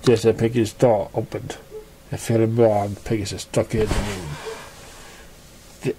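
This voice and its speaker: A man reading a fan fiction story aloud as narrator, haltingly, stumbling over words and starting lines again. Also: on the low side, level tone, noisy background. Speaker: amateur story reader.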